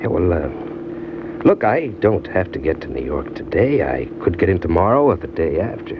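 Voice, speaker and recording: Low-voiced American ex-con drifter, old-time radio. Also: male voice, big pitch swings, normal speed, background noise. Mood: eager, boastful. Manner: slangy hardboiled storytelling. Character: streetwise male ex-convict drifter, smitten, boastful, scheming. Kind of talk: old-time radio crime drama acting, first-person narration and dialogue. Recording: dull vintage radio recording, music behind.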